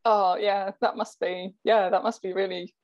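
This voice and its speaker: A woman's voice: mid-range voice, fairly even intonation, normal speed, muffled recording. A woman hosting an interview, encouraging her guest with frequent short affirmations. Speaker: interviewer, host. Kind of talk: conversational interview.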